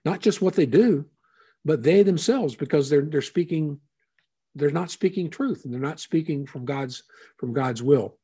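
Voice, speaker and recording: A man preaching a conversational sermon as a pastor, unhurried and thinking aloud with frequent asides. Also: on the high side, expressive, warm tone, breathy edge.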